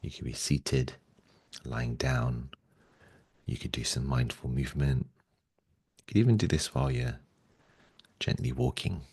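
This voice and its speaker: A man leading a guided meditation in a gentle, soothing way, speaking slowly and softly with long pauses. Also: very deep voice, creaky, breathy edge, dry recording, clean studio sound.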